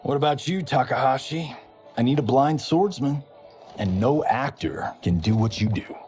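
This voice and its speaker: A man's voice: medium pitch, animated, moderate pace, a little mellow, faint music behind, lightly acted. A man playing Johnny Cage, a brash movie star. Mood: joking. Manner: breezy, joking, showbiz swagger. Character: brash cocky male movie-star fighter. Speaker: actor turned film director.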